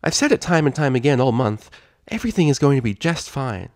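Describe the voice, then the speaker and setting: A man's voice: medium pitch, very animated, brisk, a little mellow, no echo, clean studio sound, voice pushed up, lightly acted. A man voicing a bubbly, eager young female pony princess in a storybook reading. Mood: eager, relieved.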